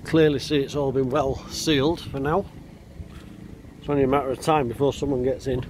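A man giving casual walkaround commentary as a vlogger, halting and thinking aloud as he goes. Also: medium pitch, fairly even intonation, a little mellow. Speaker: vlogger exploring an abandoned building. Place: outdoors, walking around a building. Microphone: handheld camera mic. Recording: outdoor ambient noise.

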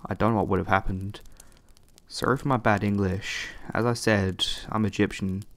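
A man reads horror stories aloud in a deep, gravelly voice. He keeps his delivery slow and flat, with barely any rise or fall, in a scripted voice-over. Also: no room sound. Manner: slow, low, even delivery. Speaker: horror story narrator. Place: voice-over over nature footage.

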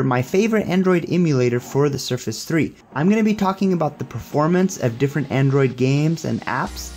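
A man's voice: on the high side, darkish tone. A young man giving a casual hands-on tech demo in an upbeat, approving manner. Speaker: tech reviewer.

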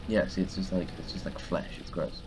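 A man's voice: low voice, a little fast. A young man giving casual, mumbly, sweary commentary while playing a game with someone. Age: young adult. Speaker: gaming video co-host. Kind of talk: casual let's-play commentary. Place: small room indoors at a computer. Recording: clearly noisy home recording.

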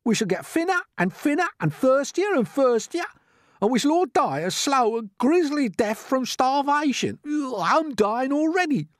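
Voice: high-pitched, big pitch swings, normal speed, no echo, clean studio sound, pitched above the performer's own voice. A performer reading a storybook, voicing a gloomy, irritable blind male earthworm who complains in dramatic wails. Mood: doom-laden complaining. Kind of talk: storybook character voice.

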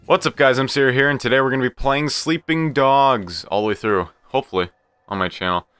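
A man hosting a casual let's play, talking to himself and the game in an excitable, profane way. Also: mid-range voice, a little fast, clean tone, dry recording, soft background music. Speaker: gaming channel host.

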